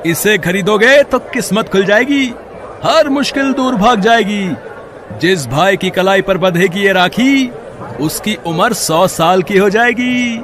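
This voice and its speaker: A kind, playful big brother played by a man in a cartoon story. His delivery is theatrical and drawn out, swinging widely in pitch while staying reassuring. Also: lively intonation, a little slow, fairly bright tone, a faint crowd, slight background noise. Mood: playful hawking. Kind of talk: dramatised Hindi cartoon storytelling.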